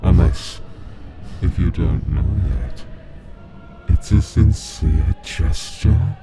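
An ancient, deathless monster in a dark cartoon drama. The voice is extremely low and unhurried, condescending and coolly menacing, with prominent music behind it. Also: male voice, very animated, heavily acted. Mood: menacing, cryptic. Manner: slow, deliberate, cryptic, condescending. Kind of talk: dramatic comic dub voice acting.

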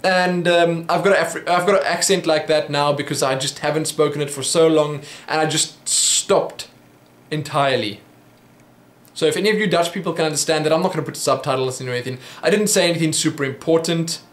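A man's voice: on the high side, a little fast, roomy sound. A young man in his twenties with a South African English accent, rambling through viewer questions at his desk with plenty of ums and restarts. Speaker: vlogger answering viewer questions. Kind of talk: casual Q&A monologue. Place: small home office room. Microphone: webcam at desk.

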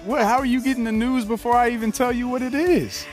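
Laid-back young American basketball rookie. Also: male voice, extremely high pitch, fairly even intonation, quick pace, over music. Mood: excited. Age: young adult. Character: easygoing young male pro basketball rookie. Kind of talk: naturalistic game cutscene dialogue.

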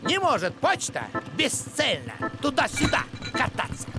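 A man's voice: very high-pitched, huge pitch swings, measured pace, heavily acted. A man playing a pedantic, flustered older village postman in a cartoon, officious and hesitant. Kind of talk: animated cartoon acting. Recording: music behind the voice.

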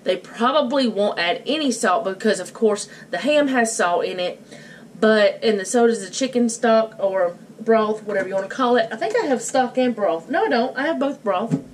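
A woman's voice: on the high side, expressive, normal speed. Middle-aged woman chatting over background noise. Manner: informal, rambling, thinking aloud. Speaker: home cook and vlogger. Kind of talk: casual cook-along chat, narrating her own steps. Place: home kitchen, indoors. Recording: clear background noise, home camera mic.

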